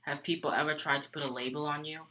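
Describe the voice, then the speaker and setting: A man asking interview questions in a brisk, direct way. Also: fairly high pitch, flat delivery, pushed and bright, rough recording.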